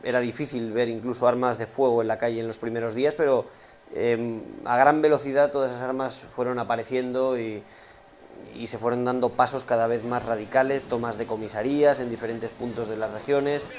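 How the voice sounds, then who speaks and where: Brief: male interviewee, flat even delivery, faint background noise. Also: mid-range voice, fast talker. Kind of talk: documentary interview.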